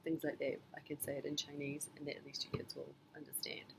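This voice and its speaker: A middle-aged woman from New Zealand, answering her child's interview questions in a thoughtful, measured way with frequent pauses and ums. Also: deep voice, monotone, gravelly, noisy background. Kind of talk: casual family interview.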